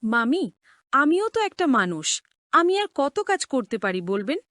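A downtrodden young servant girl in a cartoon drama, played by a woman. Her voice is very high and plaintive, beseeching and respectful, recorded dry. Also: sing-song, normal speed, a bit bright, dry recording. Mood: weary, pleading. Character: put-upon young orphan housemaid pleading with aunt.

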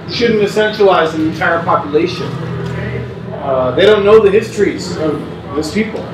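A man in his forties reads interview answers aloud in a measured, unhurried way, stopping to restart phrases, in a small indoor gallery room. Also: high-pitched, music in the background. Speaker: performer reading interview notes. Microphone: far camera mic. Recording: roomy distant sound with faint ambience.

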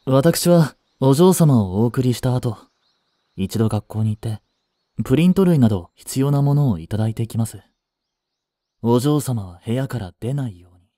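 A man's voice: mid-range voice, expressive, moderate pace, mellow, no echo, clean studio sound, lightly acted. A man playing a devoted, jealous young butler in a voice drama, with formal, clipped, haughty speech. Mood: concerned, formal. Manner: formal, polite, clipped, turning contemptuous toward a rival. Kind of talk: Japanese voice drama acting.

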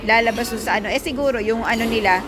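High-voiced Filipino woman amid loud crowd noise. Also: fast talker. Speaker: vlogger. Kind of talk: casual chat. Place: busy restaurant and mall interior. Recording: very noisy, crowded public place. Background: loud crowd chatter and ambient noise.

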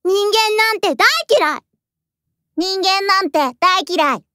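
A woman's voice: very high voice, takes it slowly, bright, forceful tone, dry recording, studio quality, heavily acted. A woman voicing a small childlike fox spirit in an anime-style audio drama, pouty, defiant and playful.

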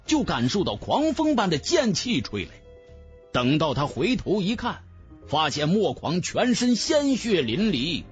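A man's voice: sits high, dull audio. A man narrating a fantasy audiobook in a dramatic scripted style.

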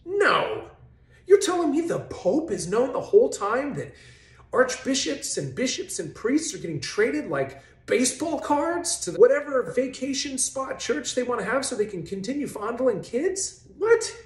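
A man in his forties hosting a faith video, giving sarcastic, mock-incredulous commentary straight to camera in a bright living room. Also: very high voice, big pitch swings, a little slow. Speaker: host of a faith video series. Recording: roomy echo in a home.

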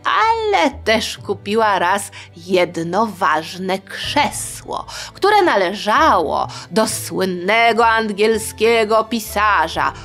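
A woman's voice: medium pitch, sing-song, extremely slow, hardly any pauses, fairly bright tone. A woman telling a story to young listeners as a narrator. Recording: music behind voice. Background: music.

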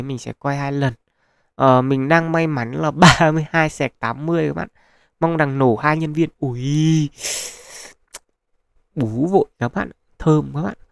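Male gaming host, gravelly mid voice, chatty advice with fillers, dry close mic. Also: on the high side, no room sound. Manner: chatty, advising viewers, many fillers. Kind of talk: casual gameplay commentary. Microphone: close mic, voice-over on screen capture.

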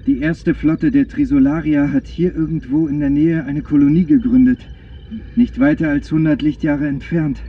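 An actor playing a steady, protective man traveling in space, in a radio drama. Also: fairly high pitch, normal speed, close to an everyday voice. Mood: analytical. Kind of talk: German radio drama acting. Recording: muffled, noisy spacecraft ambience.

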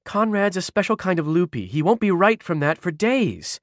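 A man voicing a brisk, protective young woman in an audiobook, with a colloquial, incredulous manner. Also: high voice, big pitch swings, dry recording, clean studio sound, pitched above the performer's own voice. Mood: matter-of-fact.

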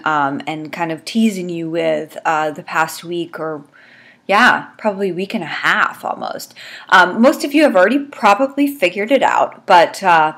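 A woman's voice: fairly low pitch, fairly bright tone. A woman in her thirties with a congested, stuffy voice, chatting casually to her webcam at home with lots of ums. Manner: chatty, rambling, many ums. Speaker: beauty vlogger. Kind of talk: casual chat to camera. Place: small room at home, indoors. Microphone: webcam at desk.

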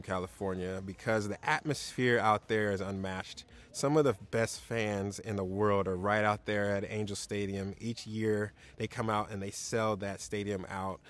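A man in his thirties, a monster truck driver, answering energetically and confidently in an interview in a dark studio. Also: fairly low pitch, moderate pace, few pauses.

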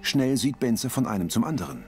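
A man's voice: mid-range voice, sing-song, brisk, faint music behind. A man reading scripted audio description, narrating scenes in the present tense.

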